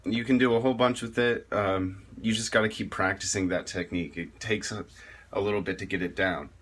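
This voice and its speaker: A man giving a casual spoken tutorial on metal vocal technique, rambling and halting with many fillers and restarts. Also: lowish voice, steady tone, fairly bright tone.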